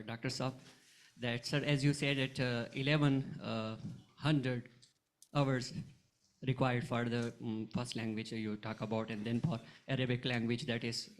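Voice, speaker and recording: A man, an audience member asking a question at a conference, polite and halting with many fillers. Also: medium pitch.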